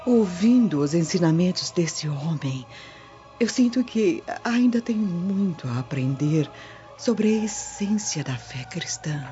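A woman's voice: lowish voice, lively intonation, a little slow, dark tone. An actress playing a Roman woman who is moved by a sermon and speaks reverently, in a radio drama. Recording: muffled audio with background music.

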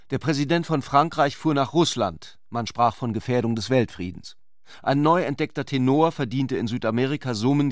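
A man's voice: mid-range voice, dry recording, studio quality. A man narrating a literary radio drama from a script.

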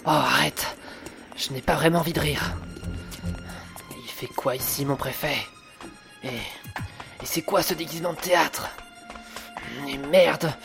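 An anxious male police inspector in an audio drama. He grumbles with sardonic exasperation and sounds nervy. His lines sit over clearly audible music. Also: fairly high pitch, fairly even intonation, measured pace, long pauses. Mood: uneasy, grumbling. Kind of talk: French audio drama acting.

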